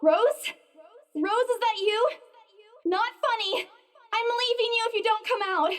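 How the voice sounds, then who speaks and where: Young female estate agent, nervy and fed up, piercingly high, slow delivery, horror visual novel. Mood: annoyed, uneasy. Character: young female estate agent, frightened, exasperated, searching for friend. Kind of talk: visual novel game voice acting.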